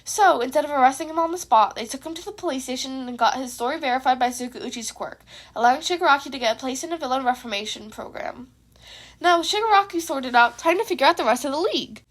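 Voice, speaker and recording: A woman's voice: high-pitched, lively intonation, fast. A woman reading a scripted piece of fan fiction aloud as a narrator. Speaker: podfic narrator.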